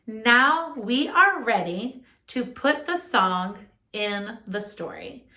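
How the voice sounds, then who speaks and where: A woman music teacher leading a children's lesson, speaking in a clear, instructive way straight to young listeners. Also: a little high, lively intonation, takes it slowly, pushed and bright. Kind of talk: children's music lesson and read-aloud narration.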